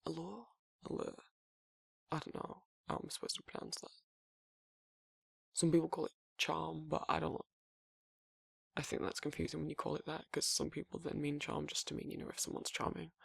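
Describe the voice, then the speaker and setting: A man voicing a gruff, laid-back werewolf boyfriend in an intimate ASMR roleplay, with a husky gravelly voice and a halting, rambling, casual delivery. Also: on the high side, level tone, a bit bright, no echo, clean studio sound, heavily acted. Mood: musing, rambling.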